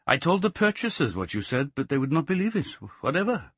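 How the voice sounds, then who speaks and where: A man's voice: a little high, sing-song, brisk, a bit bright, husky, no room sound, clean studio sound, pitched above the performer's own voice, heavily acted. A man doing a lilting Welsh farmer. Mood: rueful. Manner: lilting, singsong. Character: cheerful middle-aged male Welsh sheep farmer.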